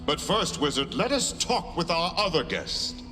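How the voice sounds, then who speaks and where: A man playing a calm, solemn otherworldly being in a video game cutscene, speaking with great deliberation. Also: on the high side, unhurried. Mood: calm, measured. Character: calm, otherworldly being embodying a whole world. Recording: long reverb, music behind.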